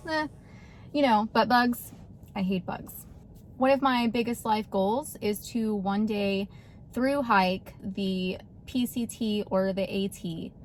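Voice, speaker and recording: A chatty woman in her thirties talks straight to camera in an easygoing vlog. Her voice sits at a middle pitch and jumps up in animated bursts with frequent laughter. A faint hum of room noise runs under her. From Texas, USA. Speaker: vlogger. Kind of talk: casual talk to camera.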